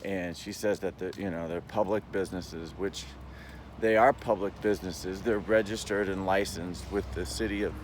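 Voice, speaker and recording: A man giving a rambling, casual monologue as a vlogger, explaining in a halting way and checking in as he goes. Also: low voice, fairly even intonation, slight background noise.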